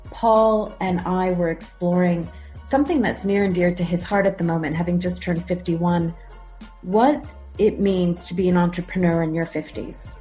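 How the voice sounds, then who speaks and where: Lady hosting a podcast chat, lowish voice, upbeat and thoughtful, faint music under it. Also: moderate pace, warm tone. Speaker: podcast host. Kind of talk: conversational interview.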